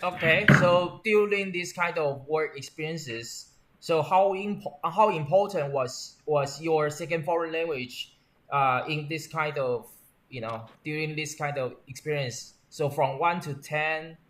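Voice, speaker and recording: Higher-voiced man asking halting questions. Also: fairly bright tone, slight background noise. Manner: halting, restarts and rephrases questions. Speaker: interviewer. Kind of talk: structured interview questions.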